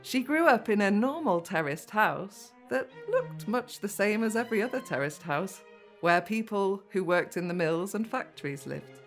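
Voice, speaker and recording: A woman's voice: mid-range voice, animated, normal speed, natural voice. An actress playing a woman who fondly narrates her mum's life story in an audio drama, over music. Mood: reflective, fond.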